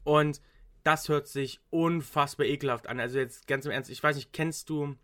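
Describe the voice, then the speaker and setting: A man's voice: fairly high pitch, fairly even intonation, normal speed, a bit bright. Rambling young man in casual chat. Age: young adult. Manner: rambling, frequent restarts and English slang. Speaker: student.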